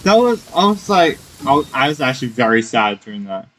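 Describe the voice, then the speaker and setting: A young man giving casual, halting commentary on a video game playthrough, often repeating his words. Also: mid-range voice, very animated, fairly bright tone, low-quality recording. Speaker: game streamer.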